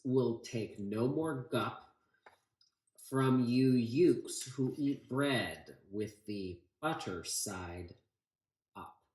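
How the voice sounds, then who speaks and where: A man's voice: medium pitch, reverberant. A man voicing a mean, boastful enemy soldier from a storybook, in a taunting, menacing manner.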